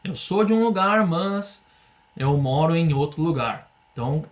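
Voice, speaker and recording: A young man from Brazil teaching an English lesson in Portuguese, explaining patiently and having students repeat phrases slowly. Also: on the high side, lively intonation. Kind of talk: online language lesson.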